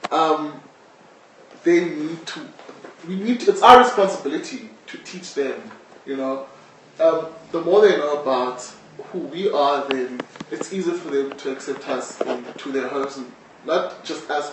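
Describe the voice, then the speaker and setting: A man with a South African accent explaining things as a guest in a live interview. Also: medium pitch. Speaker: interviewee, audio drama maker. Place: echoey indoor space, live-streamed discussion. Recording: very echoey room, distant mic, faint noise.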